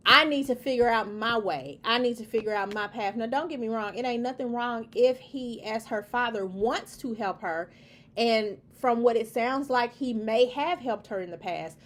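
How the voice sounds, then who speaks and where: A woman giving an opinion monologue, talking emphatically and repeating her points in a preachy, lecturing way. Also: on the high side. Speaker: commentary host.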